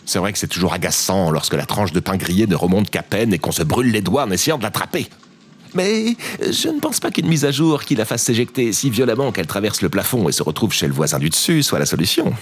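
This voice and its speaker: A man's voice: on the low side, big pitch swings, fairly quick, breathy edge. A man playing a long-suffering tech support worker in an audio drama, wordy and sardonic. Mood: wry, weary.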